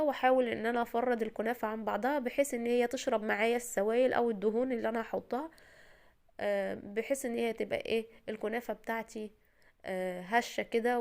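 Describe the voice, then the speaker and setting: A woman's voice: on the high side, creaky, a little mellow. A woman from Egypt with an Egyptian Arabic accent, her voice tired from a cold, chattily and reassuringly talking the viewer through a recipe as a home cooking host. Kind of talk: casual step-by-step cooking tutorial.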